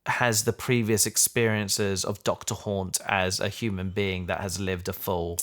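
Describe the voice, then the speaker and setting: A man's voice: low voice, level tone, measured pace, a bit bright. A man in a casual discussion, musing reflectively and posing questions as he thinks. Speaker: audio drama podcaster.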